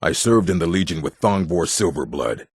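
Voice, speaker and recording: A man voicing a gruff, proud orc warrior and smith in a fantasy video game, blunt and stern, with a gravelly, husky voice. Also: low voice, big pitch swings, moderate pace, no room sound, clean studio sound, heavily acted. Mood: gruff, matter-of-fact. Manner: blunt, stern, clipped phrasing.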